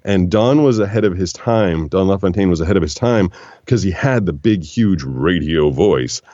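A man with a very low, deep voice tells stories in an animated, relaxed way, slipping into other voices when he quotes people. The room is dry and close. The sound is a little dull and lo-fi. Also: moderate pace, dry recording. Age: 40s. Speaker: guest, voiceover actor. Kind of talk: relaxed storytelling conversation.

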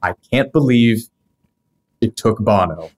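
Low-pitched man hosting a podcast. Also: lively intonation, slow pace. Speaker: podcast host. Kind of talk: reading scripted narration with casual asides.

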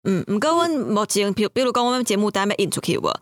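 A woman's voice: medium pitch, sing-song, fast talker, dry recording, studio quality. A woman with a Taiwanese accent talking in a casual conversation.

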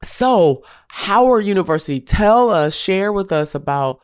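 A woman's voice: mid-range voice, animated, unhurried, a little mellow, no room sound, clean studio sound. A woman hosting a podcast interview, sounding nasal as she gets over a cold, talking emphatically with preacherly catchphrases. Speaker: podcast host.

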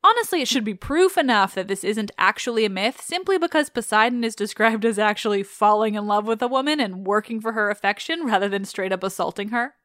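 A woman's voice: medium pitch, brisk, hardly any pauses, a bit bright, dry recording, studio quality. A woman hosting a podcast, commenting on mythology casually with wry, sarcastic asides. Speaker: podcast host.

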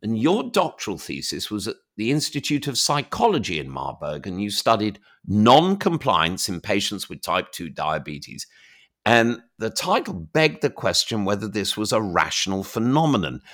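A middle-aged man hosting a conversational interview podcast, engaged and expressive in how he puts his questions. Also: on the low side, normal speed.

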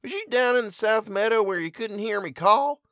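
A man voicing a worried rural farm mother who speaks in rough country dialect. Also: high voice, animated, moderate pace, fairly bright tone, dry recording, studio quality, pitched above the performer's own voice. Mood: anxious pleading. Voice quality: rustic dialect, pleading.

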